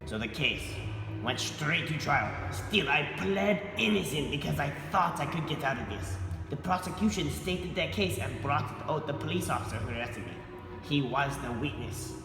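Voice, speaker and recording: A man's voice: on the high side, normal speed, natural voice. A young man playing a crude streetwise ex-con. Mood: narrating. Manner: swaggering, swears casually, drawls "man". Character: streetwise male ex-con drug dealer, tough and crude. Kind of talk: student amateur video acting. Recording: echoey room, far from the mic, with music.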